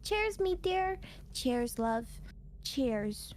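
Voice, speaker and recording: A woman's voice: high voice, sing-song, extremely slow, a little mellow, slight background noise. A woman with a cutesy, theatrical, teasing manner, bantering casually on a livestream and dropping in playful exclamations. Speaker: VTuber collab partner and streamer.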